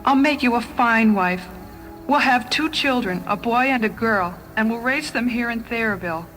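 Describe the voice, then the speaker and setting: A woman's voice: a little high, moderate pace, fairly bright tone, natural voice, low-quality recording. A woman playing a devoted young woman in love in a dramatised story, earnest, emotional, pleading yet proud. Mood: tender, pleading. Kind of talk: dramatised short-story reading. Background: music.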